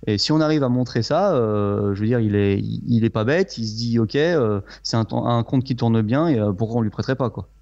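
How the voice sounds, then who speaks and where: A man in his thirties, a guest investor, who answers interview questions in a plain-spoken, frank and somewhat halting way. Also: medium pitch, a little mellow. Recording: dull lo-fi sound.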